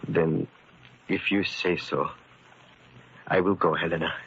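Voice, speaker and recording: A low, earnest immigrant husband in a 1940s radio drama. He speaks in formal, halting phrases, humble and resigned. The recording is dull and lightly noisy. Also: fairly even intonation, fairly bright tone, exaggerated voice. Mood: anguished, tender.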